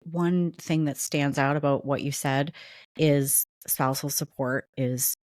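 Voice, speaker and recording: A woman co-hosting a podcast, her voice unusually low for a woman. She talks things through steadily and casually. The recording is dry, with no room sound. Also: fairly even intonation, slow pace, darkish tone, no echo. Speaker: podcast co-host.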